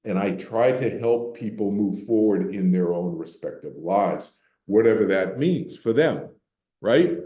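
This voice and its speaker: Older male speaker, low mellow voice, talking in a roomy library hall. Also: animated, a little slow. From Boston, Massachusetts. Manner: candid, conversational, repeats words when restarting. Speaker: meditation teacher and speaker. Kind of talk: public talk to a library audience. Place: library meeting room.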